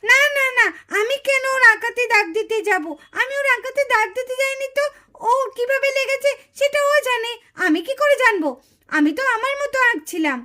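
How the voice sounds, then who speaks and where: A woman's voice: very high-pitched, a little fast, pushed and bright. A woman voicing a sly, jealous, whiny little girl in a puppet-show cartoon. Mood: defensive. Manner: scheming, self-justifying, whiny.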